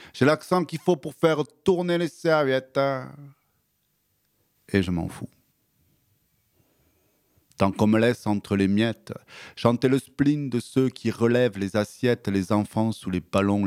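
A man with a southwestern French accent, a radio guest who speaks hesitantly in conversation and recites his own poem in a measured rhythm. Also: mid-range voice, a little mellow, no room sound, studio quality. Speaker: guest author.

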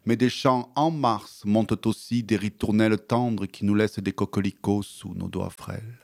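A man with a southwestern French accent, a radio guest who speaks hesitantly in conversation and recites his own poem in a measured rhythm. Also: mid-range voice, a little mellow, dry recording, studio quality. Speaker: guest author.

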